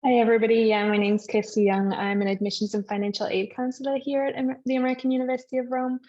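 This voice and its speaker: A woman introducing herself as an admissions and financial aid counselor in a quick, friendly way. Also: fairly high pitch, steady tone, darkish tone, muffled recording.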